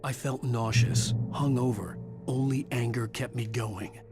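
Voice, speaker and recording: A man's voice: medium pitch, steady tone, unhurried, over music, natural voice. A man playing a grim, determined writer hero, narrating his ordeal in a brooding, terse first-person style for a video game.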